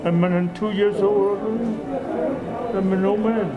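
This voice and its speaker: A man in his nineties, a war veteran, speaking haltingly about his long life with soft laughs. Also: high-pitched, level tone, narrow, tinny audio, music in the background. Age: 90s. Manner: halting, very slow, gravelly, laughs softly. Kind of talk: casual reminiscing.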